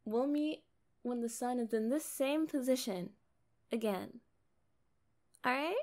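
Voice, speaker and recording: A young woman playing a kind, curious but guarded mermaid in a conversational ASMR roleplay, chatting casually with hesitant pauses. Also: a little high, a little mellow. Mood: shy, fond.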